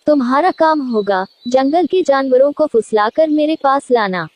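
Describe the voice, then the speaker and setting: Woman voicing a high-pitched domineering old lion. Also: lively intonation, moderate pace, a little mellow. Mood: scheming. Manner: commanding, scolding. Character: scheming old male lion, scolding and domineering.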